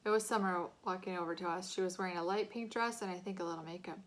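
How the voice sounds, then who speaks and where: A woman narrating a children's novel aloud in an expressive, scripted storytelling style. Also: on the low side, fast. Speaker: audiobook-style reader.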